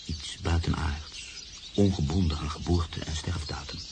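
Very deep, gravelly, brooding man. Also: steady tone, unhurried, background noise, heavily acted. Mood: solemn, tender. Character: brooding, cynical man in love, haunted narrator. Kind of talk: radio drama acting and narration.

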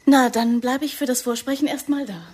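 A woman's voice: sits high, steady tone, fairly quick, a little mellow, natural voice. A woman acting a defiant, idealistic young actress with radical politics in a German radio play, outspoken and stubborn. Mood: cool, questioning.

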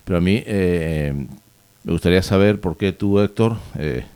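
Deep mellow-voiced radio host. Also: male voice, clean tone, no room sound. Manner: halting, many restarts and fillers. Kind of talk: radio interview. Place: radio studio.

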